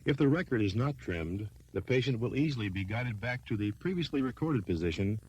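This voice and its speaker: A man reading scripted training film narration in a formal, measured, instructional manner. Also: medium pitch, animated, darkish tone, slight background noise, low-quality recording.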